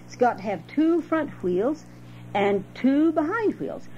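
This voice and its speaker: A woman's voice: high-pitched, very animated, takes it slowly, warm tone, pitched above the performer's own voice, full character voice. A woman voicing a cheerful, sly male trickster rabbit in a storybook, with folksy, persuasive dialect, on a home cassette recording. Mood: sly, confiding. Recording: dull, noisy home cassette with hum.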